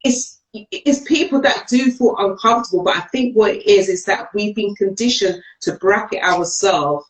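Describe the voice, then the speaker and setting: A woman's voice: medium pitch, moderate pace, echoey room, poor audio. A woman from England co-hosting a live chat, speaking forthrightly and with emphasis. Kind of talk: live-stream chat show discussion. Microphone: video call.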